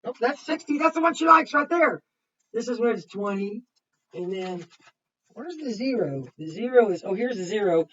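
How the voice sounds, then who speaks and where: A woman's voice: on the high side, lively intonation, moderate pace, a bit bright, a little hiss or hum. A woman kindergarten teacher reading a picture book aloud to young children, with simple explanations and chatty asides. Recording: muffled, distant microphone.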